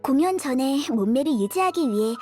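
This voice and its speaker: An actress playing a sweet, polite, determined young dancer in a video game, gentle and courteous. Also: female voice, high-pitched, lively intonation, fairly quick, soft background music. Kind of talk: video game dialogue acting.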